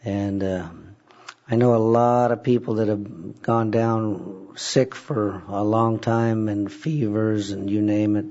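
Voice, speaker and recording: Hoarse, slow-talking man at church. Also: fairly low pitch, little pitch movement, dark tone. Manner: halting, many fillers and pauses. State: hoarse, raspy voice. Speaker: church service leader. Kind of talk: casual talk from the pulpit. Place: church service. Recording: dull lo-fi church recording.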